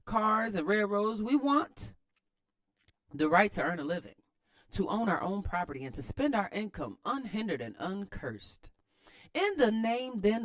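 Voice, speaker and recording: An American woman hosts a read-aloud with casual running commentary. Her delivery is expressive and lively, with wide swings in pitch around a mid-range voice. The slang comes easily, and the sound is dry, with almost no room echo. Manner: expressive, slangy, informal asides. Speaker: host of a read-aloud series.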